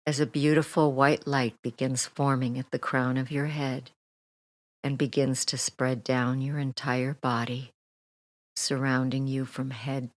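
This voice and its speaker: Very slow, low-voiced woman guiding meditation. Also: no echo. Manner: soothing, gentle, deliberate with long pauses. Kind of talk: guided meditation, relaxation script.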